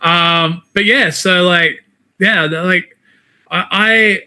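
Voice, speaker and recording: A man's voice: sits high, very slow, sharp tone, clear, resonant tone, poor audio. A man with an Australian accent chatting casually as an interview guest, informal and talkative. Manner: chatty, peppers talk with "man" and "like". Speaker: interview guest, wrestler and game streamer.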